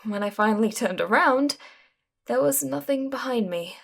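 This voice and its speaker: A woman playing a shaken young caller, recounting an eerie encounter in measured, suspenseful storytelling with dramatic pauses. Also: a little high, lively intonation, creaky. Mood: frightened, tense. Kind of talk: suspenseful scripted ghost storytelling.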